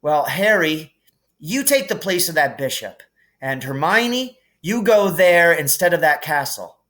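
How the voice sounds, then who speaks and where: A man voicing a frank, thoughtful boy strategist who explains and gives instructions deliberately in a storybook reading. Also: on the high side, big pitch swings, a bit bright, voice pushed up. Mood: frank, instructing.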